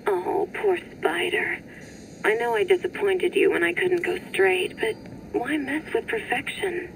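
A woman's voice: very high-pitched, very animated, measured pace, vocal fry, a little hiss or hum, full character voice, low-quality recording. An actress playing a woman on a call to a superhero in a video game. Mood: teasing.

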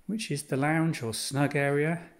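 A man showing visitors around his holiday cottage, describing each room in an unhurried, itemizing way as he walks. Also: on the high side, steady tone. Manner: unhurried, descriptive, pausing between items. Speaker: holiday cottage owner giving a tour. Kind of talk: guided walk-through house tour. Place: inside a cottage, walking room to room.

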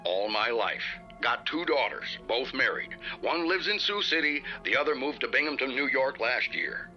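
A man's voice: medium pitch, lively intonation, moderate pace, pushed and bright, soft background music. An actor playing a curt, irritated man answering the telephone, heard through a phone filter in a radio drama. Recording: dull, muffled phone line.

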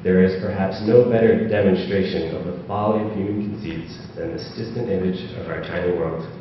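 A man's voice: sits low, fairly even intonation, a little fast, background noise. A man speaking from the floor at a panel event, hesitant with many fillers, reading quotes aloud with more force. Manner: hesitant with many ums, rising and louder when quoting. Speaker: audience member. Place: large auditorium. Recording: echoey hall from far off, dull.

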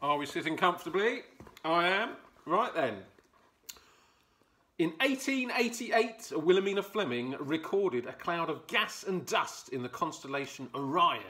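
A man in his forties with a high, bright voice and lively swings in pitch. He reads aloud from a children's book indoors, close to the camera, in a playful storytime style with light chat. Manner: playful, expressive. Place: furnished living room, indoors.

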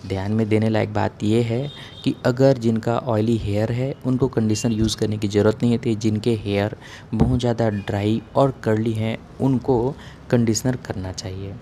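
Low, mellow man explaining methodically. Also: steady tone, moderate pace, a little hiss or hum. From India. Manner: plain, instructive, methodical. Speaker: dermatologist giving hair-care advice. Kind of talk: educational explainer, point by point.

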